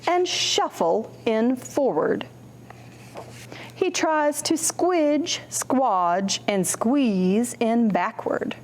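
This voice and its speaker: A woman hosting a children's storytime, reading and explaining in simple, deliberate phrases for young children. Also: on the high side, gravelly, a little hiss or hum.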